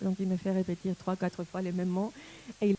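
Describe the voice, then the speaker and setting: A woman from Argentina in her forties with an Argentine accent, a tango dancer and teacher, speaking in a thoughtful, halting way as she searches for words. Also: lowish voice, monotone, warm tone, low-quality recording. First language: Spanish. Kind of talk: personal reflective talk.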